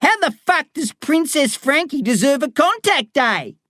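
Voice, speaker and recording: A woman voicing a loud, furious red-haired female prison inmate who barks her words out slowly. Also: high voice, very animated, dry recording, studio quality, voice pushed up, full character voice. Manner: barking, drawn-out yelling.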